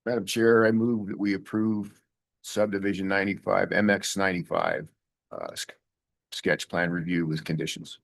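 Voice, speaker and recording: A man on a county board makes terse, formal motions in a very deep, gravelly voice. The sound is dry and close. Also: level tone, normal speed, no room sound. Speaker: county commissioner.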